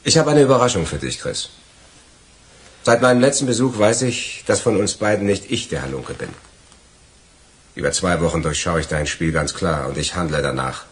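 Husky, low-voiced scheming criminal. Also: male voice, lively intonation. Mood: taunting accusation. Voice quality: husky, raspy. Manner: sardonic, taunting, deliberate. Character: vengeful, scheming male criminal gloating over betrayed accomplices. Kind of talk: German radio crime drama acting.

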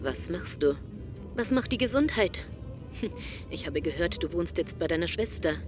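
Doting, nagging older aunt, low female voice actress, audio drama police inspector, faint music behind. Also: animated, moderate pace, close to an everyday voice. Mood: effusive, nagging. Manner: effusive, nagging family talk. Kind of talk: fan audio drama acting in German.

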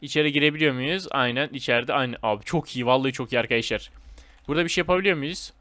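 A man hosting a gaming video with casual, excited commentary, speaking in slangy, repetitive phrases and addressing viewers as friends. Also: mid-range voice, quick pace, dry recording.

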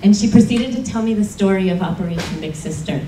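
A woman's voice: mid-range voice, fast, mellow, slight background noise. A woman telling a personal story earnestly to a panel audience, with emphatic moments. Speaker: panel speaker. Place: conference room. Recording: roomy conference hall audio from a distance.

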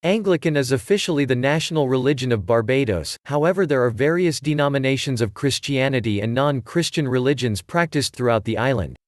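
A man narrating an educational video, reading out facts and figures in an even manner. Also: mid-range voice, brisk, few pauses, no room sound, studio quality.

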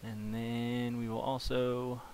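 A man hosting a casual software tutorial, talking informally and thinking aloud as he works. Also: mid-range voice, little pitch movement, takes it slowly. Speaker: tutorial host. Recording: clear background hiss.

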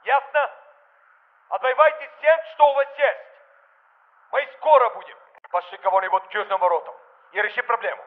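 An actor playing a stern Russian military commander in a shooter game, authoritative and urgent, with a Russian accent. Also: male voice, extremely high pitch, steady tone, moderate pace, long pauses, narrow, tinny audio.

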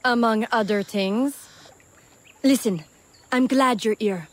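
A woman's voice: on the high side, slow. An actress playing a brash female swamp smuggler in a video game, with a breezy, folksy, familiar way of talking. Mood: teasing, friendly. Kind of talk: scripted video game acting.

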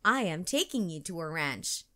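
Woman as a lively, kind storybook dad. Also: fairly low pitch, moderate pace, voice pushed down. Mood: reassuring. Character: kind, supportive father of a little girl.

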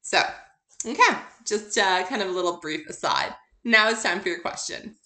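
Female course instructor, bright crisp-edged tone, informal explanatory lecture delivery, noticeably echoey recording. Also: medium pitch, animated, normal speed. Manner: conversational, informal. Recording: roomy, echoey sound.

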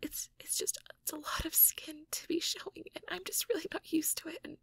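A woman playing a shy, anxious young mage girlfriend in an intimate audio roleplay, hesitant and stammering in a breathy whisper. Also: extremely high pitch, fairly even intonation, fairly quick, fairly bright tone, dry recording, exaggerated voice. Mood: self-conscious, vulnerable. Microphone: close microphone, dry.

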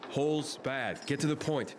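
A young male adventurer from a video game played by a man, speaking in a medium-pitched voice. He is blunt, sardonic and impatient, with clear noise in the background. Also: moderate pace, close to an everyday voice. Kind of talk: video game dialogue acting.

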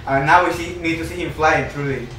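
A man's voice: on the high side, fairly even intonation, fairly quick, bright, forceful tone, slight background noise. A young man in casual, collaborative art discussion, thinking aloud in short fragments. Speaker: manga creator, show host. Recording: echoey room, distant mic, music behind.

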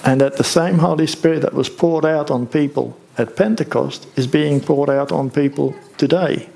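An older man, preaching a sermon from a church stage in a conversational, unhurried way with rhetorical questions. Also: on the high side, vocal fry, warm tone.

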